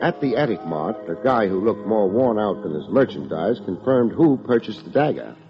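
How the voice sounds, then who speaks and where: An actor playing a wry, wordy hard-boiled private detective with dry sardonic delivery, in old-time radio drama style. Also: male voice, fairly low pitch, lively intonation, normal speed. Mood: brisk, sardonic. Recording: muffled old radio recording with music.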